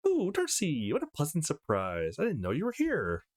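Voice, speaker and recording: A man's voice: fairly high pitch, huge pitch swings, moderate pace, pitched above the performer's own voice. A man voicing a sweet, welcoming mother in a visual novel, with a polite, cheerful manner.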